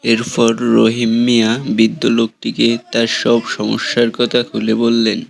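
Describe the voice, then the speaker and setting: A man's voice: medium pitch, monotone, measured pace, vocal fry, no room sound. A man narrating a scripted cartoon story.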